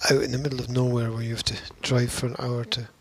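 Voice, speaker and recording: A man co-hosting a casual podcast, talking in a halting way and repeating words as he thinks aloud. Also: mid-range voice, little pitch movement, slight background noise. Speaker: podcast co-host. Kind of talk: casual chat.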